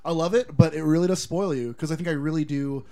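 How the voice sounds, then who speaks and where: A man's voice: on the high side, quick pace. A man from Los Angeles, a guest in a casual chat, telling stories and acting out what people said. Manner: animated storyteller, acts out quotes.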